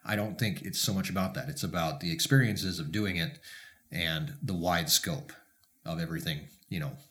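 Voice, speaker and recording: A man's voice: sits low, moderate pace, a bit bright. An American man, around his thirties or forties, co-hosting a podcast in casual chat with teasing, joking asides. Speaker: podcast co-host and rancher.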